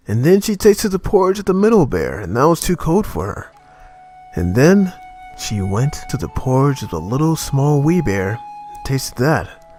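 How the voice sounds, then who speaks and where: A man narrating a children's tale as a storyteller, reading expressively in a measured manner. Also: medium pitch.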